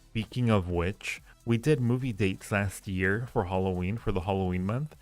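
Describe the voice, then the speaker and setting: A man hosting a casual livestream, musing aloud in fragments as he talks with viewers in a gravelly voice. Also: normal speed, faint music behind. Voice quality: low-pitched, gravelly creak. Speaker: livestream host reading viewer chat.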